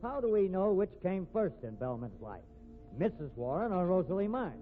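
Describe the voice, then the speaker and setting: An actor playing a gruff, stubborn, irritable police detective, blunt and slangy, in 1950s radio drama. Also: male voice, sits high, very animated, normal speed, faint music behind. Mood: dogged, accusing. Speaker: police investigator. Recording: tinny, noisy vintage radio recording.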